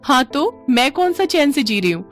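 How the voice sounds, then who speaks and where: A woman acts out a pregnant elder sister-in-law with a high voice. She is bossy and put-upon, with a scolding, reproachful way of talking. Music plays under the cartoon story dialogue. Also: big pitch swings, normal speed, fairly bright tone. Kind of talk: animated Hindi family story dialogue.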